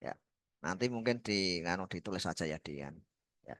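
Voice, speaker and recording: Lecturer guy, lowish voice, short polite prompts on a video call, dry sound. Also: lively intonation, normal speed, a bit bright, no echo. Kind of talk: running class introductions.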